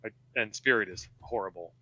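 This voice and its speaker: Muffled lofi american guy, low voice, offhand wry remarks in a casual chat. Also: brisk, pushed and bright, no echo. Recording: dull, lo-fi voice connection.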